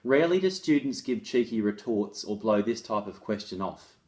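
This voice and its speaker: Low-voiced man, reading and explaining. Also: expressive, moderate pace, a little mellow. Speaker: author and presenter on restorative practices in schools. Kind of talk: reading a case study aloud with informal explanatory asides.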